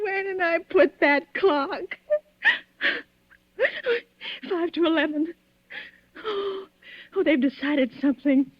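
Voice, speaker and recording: An actress playing a nervous, imperious invalid woman, agitated and complaining, in a 1940s radio suspense drama. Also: sits high. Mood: fearful, distraught. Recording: dull 1940s radio broadcast recording.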